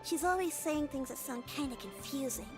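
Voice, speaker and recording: A woman voices a plucky, kind-hearted little kid from a video game. The voice is very high and childlike, earnest and polite, with soft music underneath. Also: normal speed, lightly acted. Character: kind, plucky young child caring for grandmother.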